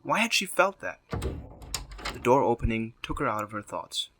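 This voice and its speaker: A man's voice: medium pitch, very animated, normal speed, bright, forceful tone, slight background noise. A man narrating a scripted story as the narrator of an audio drama.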